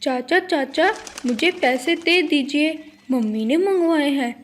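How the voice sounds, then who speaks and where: A woman's voice: sits high, slow, slight background noise. A woman voicing a mischievous, wheedling young boy sparrow in a children's cartoon story.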